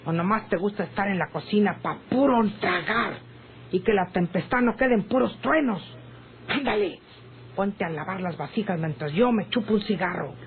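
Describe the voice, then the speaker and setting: An actress playing a sharp-tongued, folksy kitchen servant with a rural Mexican accent in a radio serial. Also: female voice, mid-range voice, moderate pace, lightly acted. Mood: scolding. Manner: folksy, scolding. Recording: tinny old radio recording, faint noise.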